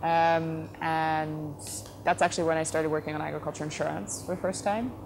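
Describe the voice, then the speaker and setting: A middle-aged woman from the Netherlands, a company head giving thoughtful interview answers, often pausing with fillers and correcting herself. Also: low-pitched, steady tone, gravelly. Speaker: company CEO, interview guest. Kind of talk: relaxed conversational interview answers. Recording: noticeable background noise.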